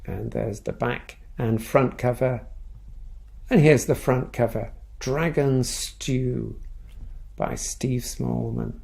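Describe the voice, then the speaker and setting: A man reading a picture book aloud for children, with expressive, playful storytelling and little asides. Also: mid-range voice, slow, gravelly.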